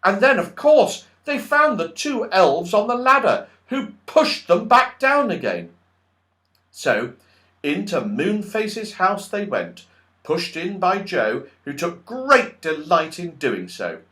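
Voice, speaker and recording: A man narrating a children's audiobook in an expressive, dramatic and emphatic storytelling style. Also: high-pitched, takes it slowly.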